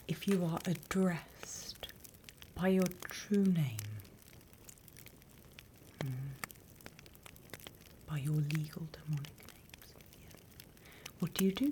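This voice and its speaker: A woman's voice: sits low, slight background noise. Whispering British woman as a female demon teacher. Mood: patient, instructive. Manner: soft, deliberate, instructional, with pauses. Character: female demon teacher, softly menacing, encouraging, prim. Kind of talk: whispered ASMR roleplay.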